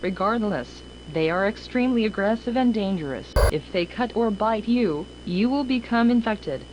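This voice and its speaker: A woman delivers a scripted emergency alert in a formal, measured manner. Her mid-pitched voice is impersonal and official. The recording is dull and lo-fi, with faint noise underneath. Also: lively intonation. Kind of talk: scripted emergency broadcast warning.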